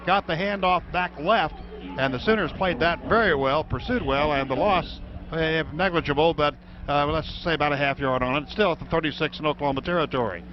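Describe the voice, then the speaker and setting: A man's voice: fairly high pitch, a little fast, a crowd in the background. A man with an American accent calling play-by-play live as a football radio announcer. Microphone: broadcast booth microphone. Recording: dull radio broadcast, loud stadium background noise.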